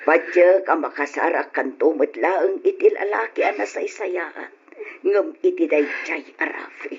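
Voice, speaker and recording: A woman's voice: low-pitched, unhurried, slight background noise. A woman playing a tender, protective middle-aged mother in an old Ilocano radio drama, soothing and emotive, with an Ilocano accent. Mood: consoling, sorrowful. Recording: dull lo-fi old radio recording.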